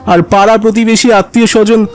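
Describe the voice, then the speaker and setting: A man's voice: high-pitched, level tone, fast talker, faint music behind, natural voice. A man voicing a talkative adult neighbour in a children's cartoon. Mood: mocking, gloating. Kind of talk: animated cartoon dialogue.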